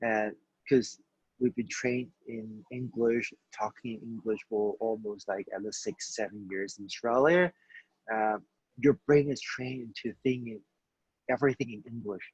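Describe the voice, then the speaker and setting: Husky man. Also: fairly low pitch, no echo, highs cut off. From Hong Kong. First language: Cantonese. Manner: halting, searching for words. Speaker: panelist, doctor. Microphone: video call.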